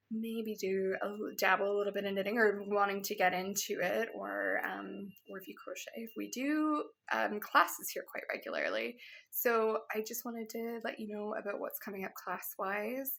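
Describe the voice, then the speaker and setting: A woman from Canada with a Canadian accent, hosting a casual show-and-tell podcast from her yarn shop, chatty and full of ums. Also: medium pitch. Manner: chatty, with frequent ums and soft laughs.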